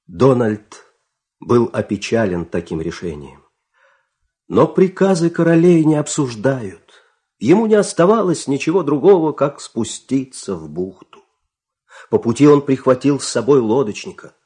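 Мужчина-сказочник, голос средней высоты. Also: male voice, mid-range voice, very animated, a little slow, warm tone. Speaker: narrator. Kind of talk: scripted fairy-tale narration.

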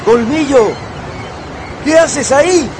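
A man's voice: extremely high pitch, slow pace, crowd noise, background noise. An actor playing a kind, patient man who tames a wolf, speaking tenderly and earnestly in a radio drama. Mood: surprised.

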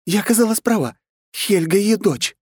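A man voicing an excitable, nervous young woman in an audiobook, pitched high and excited. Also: moderate pace, husky, no echo, clean studio sound. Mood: agitated. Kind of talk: audiobook character voice.

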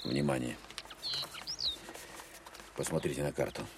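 An actor playing a stern, weary army captain in a war audio drama, speaking in a curt, authoritative, matter-of-fact way. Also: male voice, rumbling low, measured pace, noisy background. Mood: firm, commanding. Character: stern, weary male army captain leading a search team.

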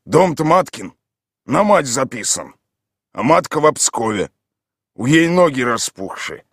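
A man voicing a hard-drinking village man who drawls and blusters loudly. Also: mid-range voice, very animated, measured pace, gravelly, husky, no room sound, clean studio sound, pitched above the performer's own voice, heavily acted. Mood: rowdy.

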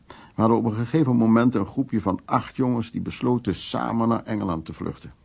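A husky, gravelly old storyteller. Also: male voice, fairly low pitch, darkish tone, full character voice. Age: 70s or older. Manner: unhurried, fragmentary, reminiscing storytelling. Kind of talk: naturalistic radio drama monologue and dialogue.

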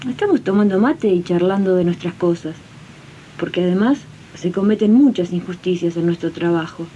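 A spirited Argentine domestic worker played by a woman in an eighties radio play. She chats companionably in a mellow, medium-pitched voice on a dull old archive recording with faint noise. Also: expressive, moderate pace, close to an everyday voice. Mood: friendly. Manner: colloquial, companionable, addresses listeners. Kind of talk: 1980s community radio drama acting.

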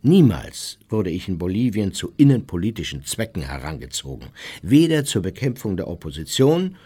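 A man's voice: low voice, sing-song, normal speed, mellow, slightly rough, full character voice. An actor playing a self-justifying older Nazi war criminal reciting his memoir in a formal radio play monologue. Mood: self-righteous, defensive.

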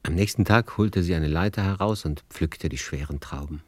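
A man narrating a literary radio play as a measured storyteller. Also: sits low, no room sound, clean studio sound.

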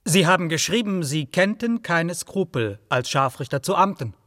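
An actor playing a sceptical, persistent official in a radio play, questioning in a terse and blunt way. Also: male voice, a little high, lively intonation, moderate pace, a bit bright, dry recording, studio quality. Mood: sceptical, probing. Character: sceptical male official interviewing a job applicant, persistent. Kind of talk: naturalistic radio play dialogue.

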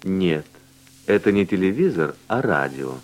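A man reading language-course dialogues and word drills with slow, deliberate, carefully enunciated speech. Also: low voice, very animated, poor audio. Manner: clear, deliberate articulation in short answers.

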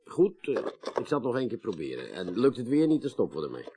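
An actor playing a businesslike police detective in a radio drama, formal and brisk with a wry edge. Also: male voice, medium pitch, huge pitch swings, mellow. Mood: determined. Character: businesslike male police detective, polite, eager to finish.

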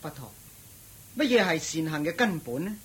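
A courteous noble prince, lively. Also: male voice, on the high side, moderate pace, husky, heavily acted. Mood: curious. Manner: respectful, earnest questioning. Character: Prince Meda, courteous noble male lord. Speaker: nobleman in a radio drama. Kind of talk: Cantonese radio drama acting.

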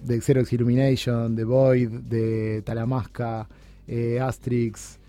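Un hombre uruguayo de treinta y tantos, invitado en una radio, habla con muchas pausas, titubeos y repeticiones. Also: male voice, mid-range voice, little pitch movement, warm tone, soft background music. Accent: Uruguayan Spanish. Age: 30s. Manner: halting, many restarts and fillers. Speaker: guest. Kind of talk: casual interview chat. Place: radio studio.

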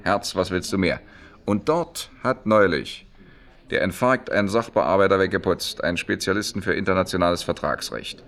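A man's voice: low voice, moderate pace, a bit bright. An actor in a German radio play, playing a brash, streetwise, out-of-work textile man with a blunt, wry, colloquial way of talking. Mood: confiding, pushy.

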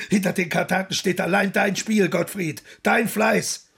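An actor playing a demanding, impatient baroque composer and bandleader in a German radio drama, urgent and exclamatory, with a husky, gravelly voice. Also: male voice, high-pitched, steady tone, measured pace, a bit bright, full character voice. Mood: coaxing.

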